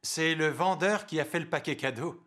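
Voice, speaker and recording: An actor playing a kindly, polite, earnest man in a French animated cartoon. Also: fairly high pitch, moderate pace. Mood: helpful. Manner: courteous, expressive. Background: loud background music.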